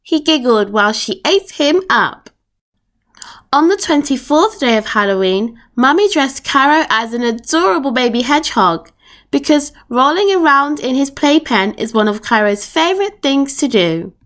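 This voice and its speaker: High, animated woman reading a storybook. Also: moderate pace. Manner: expressive storybook narration. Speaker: storytime reader. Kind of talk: children's picture book read-aloud.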